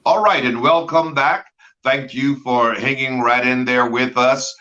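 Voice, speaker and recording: A man's voice: medium pitch, fairly even intonation, measured pace, pushed and bright, soft background music. Effusive male talk show host. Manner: effusive, repeats words, fills with "uh". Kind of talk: enthusiastic author interview. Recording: dull lo-fi sound.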